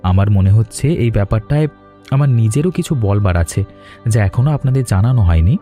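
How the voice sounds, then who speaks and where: A man voicing a courteous, reassuring young traveller in a cartoon, speaking politely and earnestly in measured phrases. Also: on the low side, expressive, mellow, close to an everyday voice. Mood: polite, serious. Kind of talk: cartoon drama dialogue. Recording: music under the voice.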